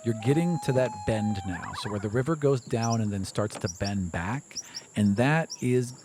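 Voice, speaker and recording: Lively storytelling man running the game. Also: medium pitch, normal speed, noisy background. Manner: loose, storytelling narration with many likes. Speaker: game master. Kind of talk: narrating a tabletop game.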